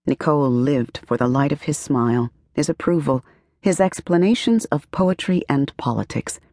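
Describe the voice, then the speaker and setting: A woman narrating a literary novel as an audiobook, with measured, expressive storytelling and careful phrasing. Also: sits low, no room sound, studio quality. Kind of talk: scripted literary fiction narration.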